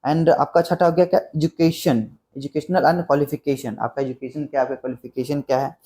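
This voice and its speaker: A man's voice: a little high, fairly even intonation, normal speed, mellow. A man giving a spoken English lesson, explaining step by step and repeating example phrases. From Bihar, India. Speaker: English teacher.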